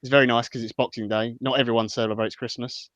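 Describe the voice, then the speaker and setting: A man's voice: mid-range voice, flat delivery, quick pace, a bit bright, no echo, highs cut off. A man hosting a live football podcast, rambling and joking between restarts as he chats casually with his co-hosts. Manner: rambling and jokey, many restarts. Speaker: podcast host.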